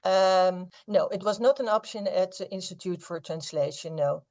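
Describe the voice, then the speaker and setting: A woman in her fifties from the Netherlands with a Dutch accent, a literary translator answering interview questions thoughtfully, with frequent pauses and fillers. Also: on the low side, a little mellow, dull audio.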